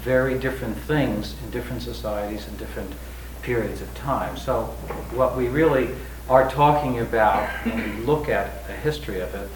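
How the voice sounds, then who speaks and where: A man giving a talk to a congregation, halting with many fillers and restarts. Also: mid-range voice. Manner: says he has a big loud voice. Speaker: guest speaker. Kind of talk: lecture-style talk. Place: congregation hall. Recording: echoey room, distant mic, noisy.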